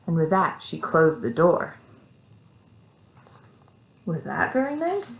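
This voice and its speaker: A woman with an American accent reading a story to young children, animated, with simple casual asides. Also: low-pitched, slow pace, vocal fry. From Texas, United States. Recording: echoey room.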